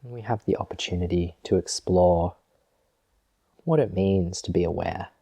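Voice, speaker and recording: A man leading a guided meditation as a teacher, speaking gently and in a measured way with long pauses. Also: sits low, husky, dry recording.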